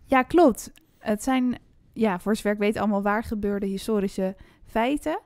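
A woman's voice: mid-range voice, normal speed, darkish tone, no echo, studio quality. A woman co-hosting a book podcast, talking about books in casual conversation.

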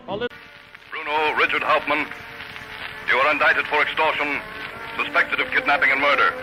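An actor playing a stern, accusing interrogator who declaims evidence in a 1930s radio news dramatization. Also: male voice, on the high side, fairly even intonation, normal speed, music in the background, lightly acted. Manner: declamatory, prosecutorial. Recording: noisy old 1930s radio recording.

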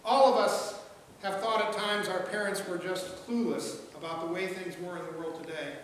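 High-voiced pastor in an echoey church. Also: male voice, steady tone, moderate pace, slight background noise. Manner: conversational, repeats words as he goes. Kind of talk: sermon with jokes and quoted voices. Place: large church sanctuary, at a pulpit. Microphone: pulpit mic, filmed from a far camera. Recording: echoey hall, far-off mic, faint ambience.

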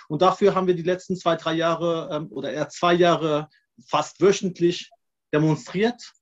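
A middle-aged man talks haltingly on a video call, stopping on fillers and restarting his sentences. His voice sits a touch higher than most men's. The laptop mic makes it sound dull and lo-fi. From Iran. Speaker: activist guest. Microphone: laptop or webcam mic on a video call.

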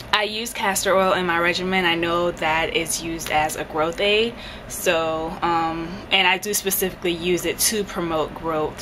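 Low-voiced woman chatting on camera. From Jamaica. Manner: chatty, hesitant with many ums, light laughs. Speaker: beauty vlogger answering viewer questions. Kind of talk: casual Q&A chat. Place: indoors, bedroom in front of a headboard. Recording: steady background hiss.

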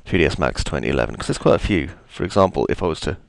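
Man, low gravelly voice, chatty tutorial host, self-deprecating, dry close recording. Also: lively intonation, very fast, no echo. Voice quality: gravelly, deep voice. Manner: chatty, self-deprecating, thinks aloud with ums. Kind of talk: screen-recorded software tutorial.

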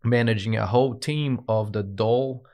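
A man in his thirties from Brazil with a Brazilian Portuguese accent, a guest telling his story in a studio interview with many "uh" pauses. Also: medium pitch, darkish tone. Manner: earnest, with frequent "uh" and "um" pauses. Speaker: guest, dispatch manager. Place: podcast studio with branded backdrop. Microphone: desk podcast mic on a stand.

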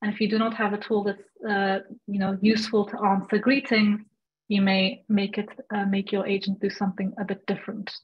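A young woman with a medium-pitched voice hosts an online Q&A. She talks informally as she relays questions, and the call audio is slightly muffled and lo-fi. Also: flat delivery, a little fast. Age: young adult. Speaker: webinar moderator. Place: indoors, on a video call. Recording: dull, lo-fi video call audio.

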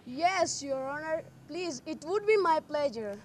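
A student playing a dutiful court minister. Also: female voice, high-pitched, lively intonation, a little hiss or hum. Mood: deferential. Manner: deferential, halting recital. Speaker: student actor. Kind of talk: school drama performance.

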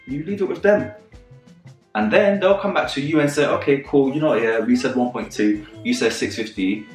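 A man's voice: medium pitch, normal speed, far from the mic, soft background music. Guy in his 30s doing a chatty vlog, talks with his hands, repeats stuff a lot, roomy echo, kinda off mic. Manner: animated, gestures a lot, repeats phrases for emphasis. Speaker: property channel host. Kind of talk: casual advice vlog to camera.

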